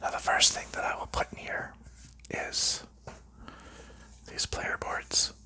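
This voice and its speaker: A man hosting an ASMR video in a soft unvoiced whisper, calmly narrating each step as he packs up a board game, with relaxed fillers. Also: takes it slowly. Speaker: ASMR channel host.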